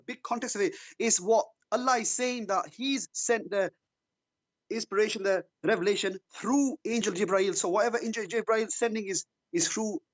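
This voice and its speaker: A man defends his view in a heated religious debate. His voice is high and husky. He talks hesitantly and often stumbles over repeated words. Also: moderate pace.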